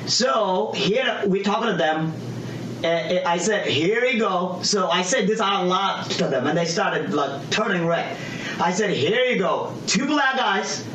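Halting man, far and echoey. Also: sits high, expressive, fairly bright tone. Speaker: guest. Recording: distant, echoey with clear background noise.

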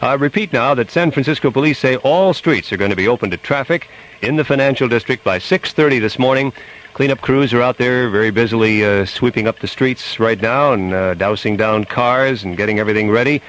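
A man's voice: mid-range voice, a little fast, a bit bright, poor audio. A man with an American accent anchoring a live radio news broadcast.

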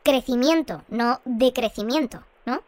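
An actress playing a courteous, bemused woman radio host shrunk to half size, with a small squeaky voice, in an audio drama. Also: a little slow, darkish tone, dry recording, close to an everyday voice. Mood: puzzled. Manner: hesitant, repeats words when puzzled. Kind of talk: Spanish audio fiction radio phone-in.

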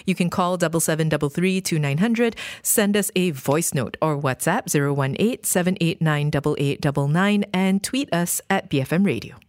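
A woman hosting a radio talk show from the studio, with a brisk, conversational delivery. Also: sits low, a little mellow, no echo. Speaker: radio host.

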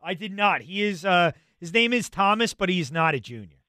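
A man's voice: high-pitched, fairly quick, no echo, studio quality. A young adult man hosting sports talk radio, conversational and filler-heavy. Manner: animated, conversational, many fillers.

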